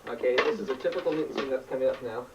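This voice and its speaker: A middle-aged man showing his childhood toy scenes on home video, rambling and excited with frequent restarts. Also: medium pitch, very fast. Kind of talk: casual home-video show-and-tell. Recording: distant home-video mic with noise.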